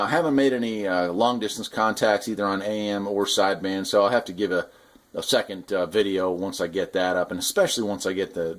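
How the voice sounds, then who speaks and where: A man giving a casual hands-on product demonstration, explaining things in an informal, conversational way as a hobbyist host. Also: on the low side. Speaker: radio hobbyist host reviewing gear.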